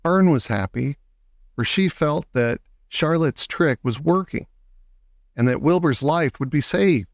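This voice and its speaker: Higher-voiced man reading a children's story. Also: animated, measured pace, dry recording, studio quality. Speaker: audiobook narrator. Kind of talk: scripted children's story narration.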